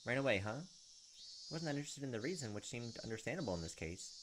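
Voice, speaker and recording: A man's voice: medium pitch, big pitch swings, fast talker, noisy background. A young man hosting a gaming video, reading visual novel narration aloud with casual asides. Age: young adult.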